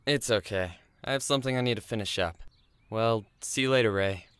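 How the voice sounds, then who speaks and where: A man's voice: lowish voice, a little fast, fairly bright tone, clear, resonant tone, dry recording, lightly acted. A man acting a reserved teenage schoolboy in an audio drama, subdued and reflective. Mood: guarded. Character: reserved teenage schoolboy who writes stories and keeps his distance.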